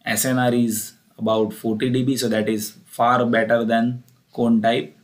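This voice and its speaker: A man's voice: medium pitch, flat delivery, normal speed. A man with an Indian accent giving a plain, methodical technical lecture, repeating key phrases as he explains. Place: voice-over for a screen recording.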